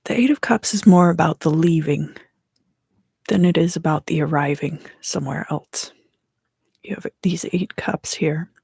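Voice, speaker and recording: A woman giving an ASMR tarot reading in a hushed, breathy whisper, gently and with pauses. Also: lowish voice, no echo. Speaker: tarot reader and host.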